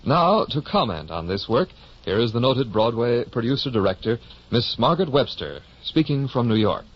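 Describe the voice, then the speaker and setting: Old time radio announcer guy, mid pitch, formal, dull muffled broadcast sound. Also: big pitch swings, moderate pace, slightly rough.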